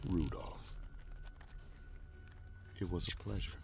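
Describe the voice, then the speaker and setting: An actor playing a cold, weary traitor cultist in an audio drama, husky and gravelly, hushed and deliberate. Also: male voice, low-pitched, exaggerated voice. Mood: quiet, wry. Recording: music behind the voice.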